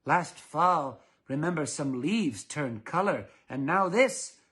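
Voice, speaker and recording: A man voicing a dull, easily alarmed storybook father in a slow, measured way. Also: on the high side, expressive, a bit bright. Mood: worried.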